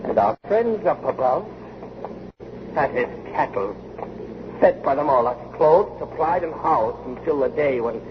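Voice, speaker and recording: A man's voice: mid-range voice, animated, unhurried, lightly acted. Man acting a bold inventor, noisy old radio drama. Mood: grim, declaiming. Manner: theatrical, declamatory, urgent. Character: bold, reasoning male time-machine inventor on an adventure. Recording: dull, noisy vintage radio recording.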